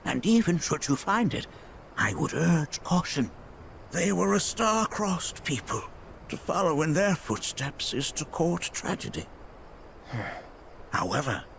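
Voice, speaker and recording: Creaky, wildly swooping eccentric old sage. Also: male voice, a little high, a bit bright, a little hiss or hum, exaggerated voice. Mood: grave counsel. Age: older. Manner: theatrical, rambling, old-fashioned phrasing. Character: eccentric, kindly old male sage and tinkerer. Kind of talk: video game cutscene acting.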